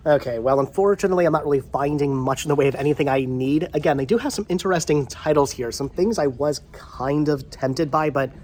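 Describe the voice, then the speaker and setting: A man's voice: on the high side, brisk, hardly any pauses, darkish tone. A middle-aged man with a congested voice chats casually to viewers as a vlog host, rambling and repeating his phrases. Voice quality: congested with a head cold.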